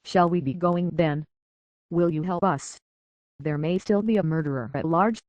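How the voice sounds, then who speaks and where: Man playing a prim British robot butler, high-voiced. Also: moderate pace, darkish tone, dry recording, muffled recording, natural voice. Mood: pleading, worried. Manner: formal, deferential, fussily polite. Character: prim, courteous, anxious robot butler serving as hotel concierge. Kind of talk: scripted video game character acting.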